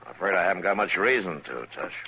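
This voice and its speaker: An actor playing a steady, weary, authoritative Old West marshal, American, terse and dry, in 1950s radio drama style. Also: male voice, sits low, fast, vocal fry, slightly rough, muffled recording, full character voice. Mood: firm. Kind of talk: 1950s radio western acting. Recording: noisy old radio recording.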